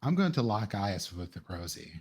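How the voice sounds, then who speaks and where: A man's voice: fairly low pitch, big pitch swings, normal speed, vocal fry, slightly rough. A man playing in a tabletop roleplay game, improvising in-character talk and describing his moves.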